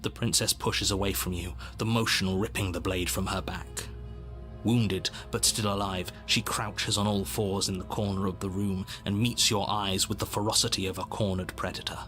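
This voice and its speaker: An ominous storybook narrator for a horror game. The voice sits fairly low and speaks with grave formality, pressing the listener onward. Music plays clearly behind it. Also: male voice, steady tone, normal speed, a bit bright. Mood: ominous, dramatic. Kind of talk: scripted second-person horror narration.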